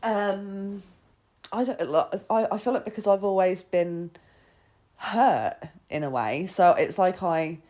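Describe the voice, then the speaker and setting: A woman's voice: mid-range voice, takes it slowly, warm tone. A woman talking casually and confessionally as a podcast host, with informal phrasing full of "like". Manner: informal, sweary, frequent "like" and self-quoting. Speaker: podcast host sharing personal experience.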